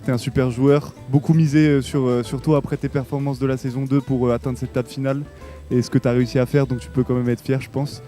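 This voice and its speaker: Male host, mellow mid-range voice, casual interview, encouraging, faint music behind. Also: mid-range voice, little pitch movement, quick pace. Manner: encouraging, congratulatory. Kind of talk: casual post-game interview.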